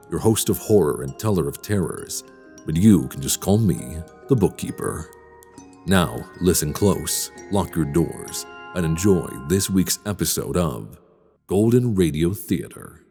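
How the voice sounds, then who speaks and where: Very deep, ominous horror host. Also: male voice, expressive, mellow. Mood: sinister welcome. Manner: slow, deliberate, ominous, theatrical. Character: sinister male horror-story host, slow and menacing. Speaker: horror anthology host and narrator. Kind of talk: theatrical scripted horror narration. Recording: long reverb, music underneath.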